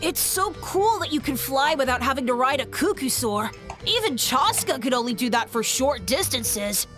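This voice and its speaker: A woman's voice: high voice, moderate pace. A woman voicing an eager, starstruck young village kid in a game scene with music. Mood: excited. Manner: excited and eager.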